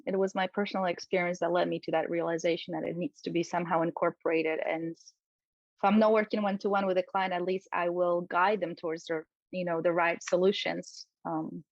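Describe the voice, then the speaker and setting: Polish woman, low dry voice. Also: little pitch movement, moderate pace, dry recording, lo-fi sound. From Poland. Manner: thoughtful, with frequent fillers and light laughs. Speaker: jiu-jitsu coach. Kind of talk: podcast interview.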